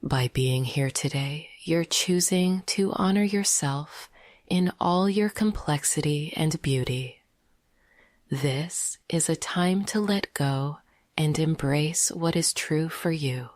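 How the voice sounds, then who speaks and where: A woman leading a guided meditation in a soft, half-whispered, gentle and soothing voice. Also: low voice, slow, dry recording, clean studio sound.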